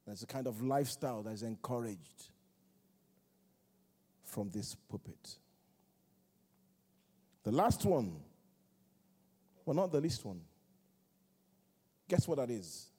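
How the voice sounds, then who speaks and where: A male preacher delivers his sermon at an unhurried pace, repeating short phrases for emphasis. His voice is mellow and slightly husky, with a long reverb trailing each line through the church hall. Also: mid-range voice, lively intonation. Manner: rhythmic repetition, call-and-response phrasing.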